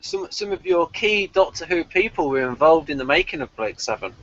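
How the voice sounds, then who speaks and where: High, bright, animated man debating. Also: brisk, muffled recording. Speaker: podcast co-host leading questions. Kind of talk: casual sci-fi TV review chat.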